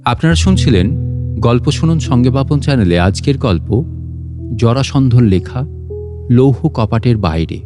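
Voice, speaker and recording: A man reading a literary story aloud in an expressive, measured, dramatic way. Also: mid-range voice. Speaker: audiobook narrator and story channel host. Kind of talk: dramatic first-person literary story narration. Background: soft plucked-string instrumental music.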